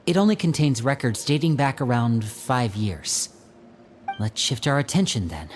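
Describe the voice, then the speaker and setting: Man playing composed young man, measured and serious. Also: mid-range voice, animated, a little hiss or hum, close to an everyday voice. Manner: measured, explanatory, sighing. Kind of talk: video game voice acting.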